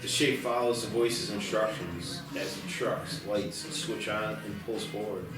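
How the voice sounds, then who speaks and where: Low-voiced man narrating in an echoey room. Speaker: screenplay narrator at a table read. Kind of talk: scripted narration, reading stage directions. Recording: distant echoey room, clear music behind.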